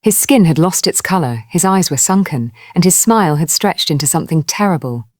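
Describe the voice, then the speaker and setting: A woman storyteller with a low voice narrates a dramatic folktale. Her delivery is expressive, rising and falling widely, and the sound is close and dry. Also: fairly quick, dry recording.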